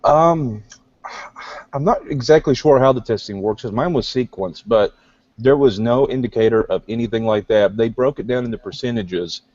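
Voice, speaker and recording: A man with a deep voice, talking as a guest in a casual online group discussion from a gym, thoughtful and hesitant with many fillers. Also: moderate pace, a little mellow, no room sound. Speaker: guest newcomer. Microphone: video call. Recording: dull lo-fi online call audio.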